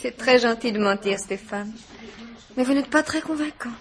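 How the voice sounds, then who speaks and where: An actress playing an anxious, lovelorn eighteen-year-old niece with a plaintive manner in a French radio mystery play. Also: female voice, fairly high pitch, normal speed, natural voice. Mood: doubtful, sad. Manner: plaintive, wounded.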